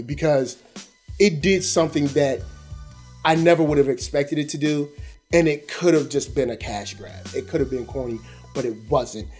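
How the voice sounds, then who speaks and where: Higher-pitched American man hosting over music. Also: darkish tone. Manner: conversational, slangy, addressing viewers directly. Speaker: host of an animation review show. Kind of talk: casual review commentary to camera.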